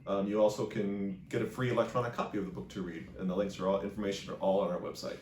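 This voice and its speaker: A man's voice: on the low side, little pitch movement, fast talker, darkish tone, slight background noise. Man reading aloud in an echoey room. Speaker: library reader. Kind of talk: storybook read-aloud narration. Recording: echoey room, distant microphone.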